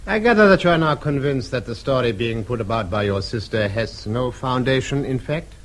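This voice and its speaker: A male actor as a stern high official in a radio drama. He questions formally and accusingly in a medium-pitched voice with marked rises and falls. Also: lively intonation, brisk, fairly bright tone, lightly acted. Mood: cold, accusatory.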